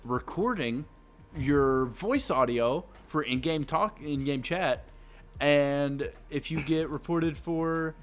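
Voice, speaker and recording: A young man with a deep voice co-hosting a podcast in casual chat, loud and excitable, jumping between shouting and low mumbling. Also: takes it slowly. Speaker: podcast co-host. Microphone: desk USB microphone.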